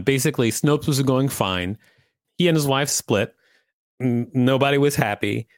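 Lower-pitched man co-hosting a podcast. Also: no echo, studio quality. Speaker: podcast co-host. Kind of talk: reading an article aloud with casual commentary.

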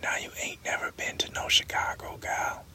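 A reader voicing a skinny, sharp-tongued young woman, whispered throughout. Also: moderate pace. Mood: scoffing. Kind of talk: whispered audiobook reading.

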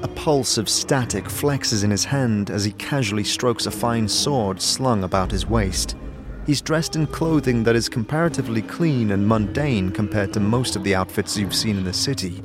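A man's voice: fairly low pitch, animated, moderate pace. A man giving scripted second-person narration for a fantasy audio drama. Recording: music bed behind the voice.

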